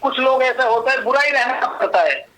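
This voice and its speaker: A man's voice: very high-pitched, lively intonation, brisk, fairly bright tone. A man rambling bluntly and coarsely in colloquial, self-justifying talk over a noisy phone line. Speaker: caller, confronted scammer. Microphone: phone call.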